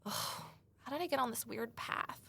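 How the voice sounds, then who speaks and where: A woman's voice: fairly high pitch, normal speed, gravelly, pushed and bright, husky, heavily acted. An actress playing an earnest, self-doubting young woman sleuth in an audio drama, speaking in a wry, conversational way. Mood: rueful, self-mocking. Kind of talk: naturalistic audio drama acting and first-person narration.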